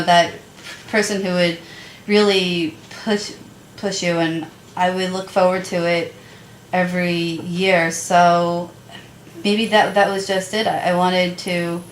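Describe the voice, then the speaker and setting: A young woman who stutters a little, chatting as a guest about her school years. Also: on the low side. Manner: stutters with repeated sounds. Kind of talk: casual chat. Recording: echoey room with light noise.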